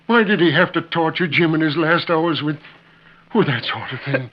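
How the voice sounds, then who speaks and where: A man's voice: on the high side, moderate pace, close to an everyday voice. An actor playing a plain-spoken older rancher and troubled father in an old-time radio western, with a rustic drawl. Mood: bitter. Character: folksy older rancher, grieving father of condemned son. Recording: muffled old radio recording.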